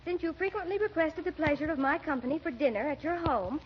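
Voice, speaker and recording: A woman's voice: sits high, animated, fast talker. An actress playing an artless, earnest young niece with a girlish manner in 1940s radio comedy. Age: young adult. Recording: muffled, noisy 1940s radio broadcast.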